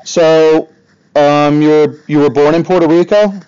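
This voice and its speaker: Clear-voiced man interviewing, slightly dull audio. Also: a little high, steady tone, unhurried, dark tone. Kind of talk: casual remote interview.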